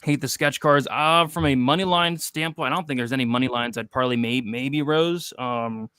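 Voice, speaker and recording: A man's voice: medium pitch, moderate pace, fairly bright tone, smooth, dry recording. A man in his twenties hosting a casual betting livestream, reading chat questions and joking loosely. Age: 20s. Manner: loose, jokey, reads chat questions aloud. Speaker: host of a betting show.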